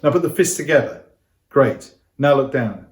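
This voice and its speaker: A man's voice: medium pitch, animated, moderate pace. Upbeat man teaching in a roomy space. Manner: upbeat, coaxing, addresses viewers directly. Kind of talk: energetic interactive lesson to camera. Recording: roomy echo.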